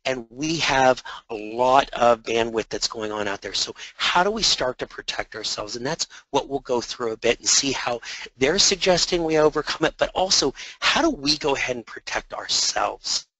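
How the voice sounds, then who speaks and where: Hoarse man presenting a webinar. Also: mid-range voice, pushed and bright, dry recording, poor audio. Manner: conversational, repeats words, many fillers. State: hoarse from a sore throat.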